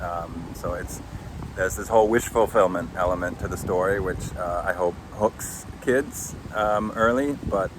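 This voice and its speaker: A man hosting a podcast as its author, giving a casual, unscripted recap and searching for his words. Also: deep voice. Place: outdoors under a tree. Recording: faint outdoor traffic-like noise. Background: faint outdoor urban ambience.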